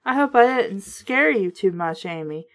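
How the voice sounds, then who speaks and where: A woman's voice: medium pitch, expressive, a little slow, a bit bright. A woman voicing a sulky, spiteful little boy from a children's storybook, grumbling and accusing. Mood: smug, spiteful.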